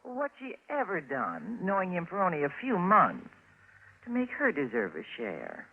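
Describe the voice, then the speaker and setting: An actress playing a coy, grasping niece in a vintage radio drama, flirtatious with an undercurrent of spite. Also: female voice, lowish voice, wildly swooping pitch, normal speed, husky, heavily acted. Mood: defensive. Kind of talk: 1950s radio drama acting. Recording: tinny, noisy old radio broadcast.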